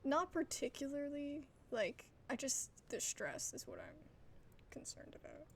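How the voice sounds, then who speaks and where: A woman's voice: high voice, sing-song, a little slow, a little hiss or hum. A woman hosting a gaming video with casual playthrough commentary, informal and wry, often trailing off into muttered asides.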